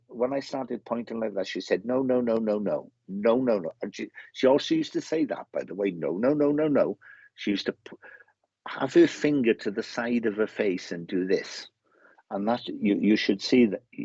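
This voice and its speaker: A man working as a medium, giving a live spirit reading in a halting way, often repeating himself and restarting his sentences. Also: medium pitch, a little mellow, breathy edge, no echo, dull audio.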